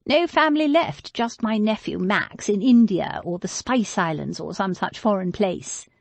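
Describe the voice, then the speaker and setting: Frail old aristocratic lady, tart and haughty, higher, lively, breathy delivery, voiced by a woman narrator. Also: measured pace, no echo. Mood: proud, forlorn. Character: frail proud elderly aristocratic lady, sharp-tongued.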